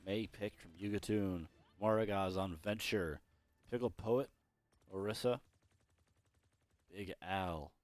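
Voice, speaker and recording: Young male esports caster, low hoarse voice, loose rambling play-by-play. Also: slow pace, pauses often, dry recording. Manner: rambling, self-correcting, chatty. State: losing his voice. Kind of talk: solo play-by-play commentary.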